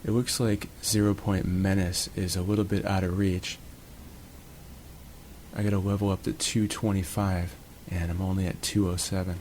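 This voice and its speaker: A man's voice: low-pitched, flat delivery, moderate pace, darkish tone, a little hiss or hum. A man hosting his own channel, casually narrating a match from home in a laid-back, jokey, chatty way. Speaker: gaming channel host. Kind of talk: relaxed gameplay commentary.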